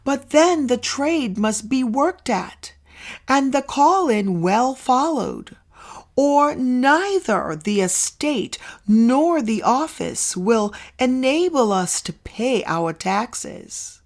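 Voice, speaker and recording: A woman narrating an old essay as a scripted audiobook, with deliberate, emphatic phrasing and frequent pauses. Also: sits high, big pitch swings. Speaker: narrator reading an essay aloud.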